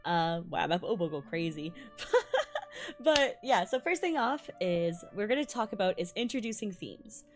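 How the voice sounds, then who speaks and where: A young adult woman whose first languages are Malayalam and Tamil, a violinist giving a bubbly, excitable casual breakdown of music and laughing often. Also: on the low side, normal speed. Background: faint music playing.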